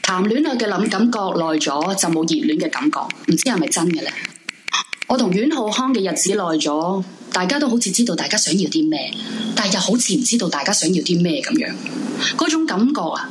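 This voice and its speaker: An actress playing an introverted, brooding young woman in a radio drama, confiding in Hong Kong Cantonese with dramatic pauses. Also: lowish voice, expressive, noisy background, natural voice. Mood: bitter, wounded. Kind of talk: radio drama monologue in first person. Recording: music bed under the voice. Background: dramatic background music.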